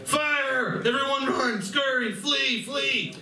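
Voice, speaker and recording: A man's voice: very high voice, slow pace, sharp tone, heavily acted. A man acting a jittery, wisecracking animal gunslinger with a sarcastic, exasperated delivery in a live radio play. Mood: panicked. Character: jittery wisecracking male furry gunslinger with a drinking habit. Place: live stage reading at a convention. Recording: distant mic, echoey noisy convention room.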